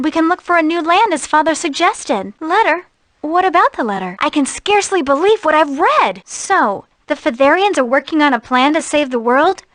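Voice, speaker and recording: High-voiced, proper young envoy. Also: female voice, a bit bright, no echo. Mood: businesslike. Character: proper, spirited young noblewoman envoy, a president's daughter. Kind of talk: video game voice acting.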